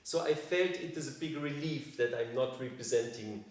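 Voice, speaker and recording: A man's voice: mid-range voice, moderate pace. Male artist interviewee, 40s, Austrian accent, native German speaker. Thinks aloud, restarts often. Heavy room echo, set back from the mic. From Vienna, Austria. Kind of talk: reflective conversational interview. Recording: very echoey room, distant from microphone.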